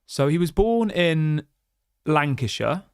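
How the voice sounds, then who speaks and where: A man's voice: on the high side, animated, takes it slowly, clean tone, no room sound, studio quality. A man hosting a football fan livestream, reading viewer chats and ranting passionately with lots of restarts and rhetorical questions. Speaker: football fan channel host.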